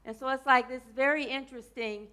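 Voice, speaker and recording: A woman minister giving a loose, chatty sermon to her congregation. Her voice is scratchy today and she keeps clearing her throat, and she tends to wander off topic and joke along the way. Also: high-pitched, fairly even intonation, fast, bright, forceful tone. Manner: rambling, playful, drifts off topic mid-sentence. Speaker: minister of a church.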